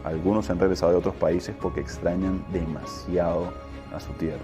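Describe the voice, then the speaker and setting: A man narrates a field report in a very deep, calm voice, measured and steady. Soft music plays beneath his words.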